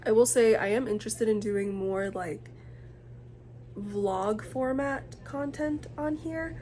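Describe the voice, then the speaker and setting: Nervous young woman, slow hesitant talk. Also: a little high, dark tone, a little hiss or hum. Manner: nervous, self-conscious, hesitant with fillers. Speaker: vlogger. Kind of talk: casual talk to camera. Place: indoor room. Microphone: camera mic.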